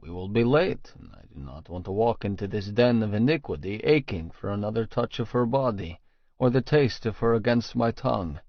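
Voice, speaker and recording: A man's voice: lowish voice, animated, fairly quick, no echo, lo-fi sound. Man narrating fiction, very dry sound. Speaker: audiobook narrator. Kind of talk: scripted first-person fiction narration.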